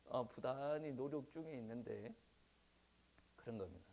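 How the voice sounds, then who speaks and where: A male pastor with a Gyeongsang Korean accent preaching a sermon at a church service, asking the congregation rhetorical questions and pausing on "eo" fillers. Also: medium pitch, darkish tone.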